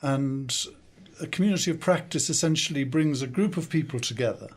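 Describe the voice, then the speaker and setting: An older man with an English accent talks as a podcast guest in a studio. His pitch sits in an ordinary middle range. He answers thoughtfully and pauses often as he gathers his thoughts. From South Africa, raised in the UK. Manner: thoughtful, measured, with frequent hesitations. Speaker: guest, consultant and coach. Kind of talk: podcast interview.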